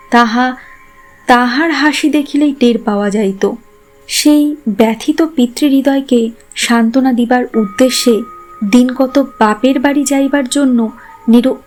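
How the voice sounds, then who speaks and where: Woman, fairly high voice, scripted literary storytelling, faint music behind. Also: a little mellow. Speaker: narrator.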